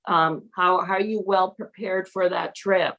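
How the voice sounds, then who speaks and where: A woman who grew up in Peru, a teacher and mentor, talking in a podcast interview in a halting way, with many fillers and restarts. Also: on the low side, steady tone, fairly bright tone, dull audio. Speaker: National Board certified teacher and mentor.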